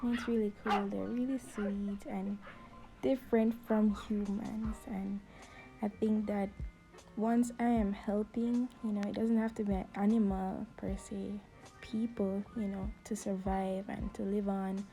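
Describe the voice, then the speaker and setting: A woman's voice: medium pitch, little pitch movement, unhurried, warm tone, faint music behind, low-quality recording. A nineteen-year-old woman from Jamaica with a Jamaican accent, chatting casually in a Q&A vlog, laughing often and filling with "you know" and "like". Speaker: vlogger.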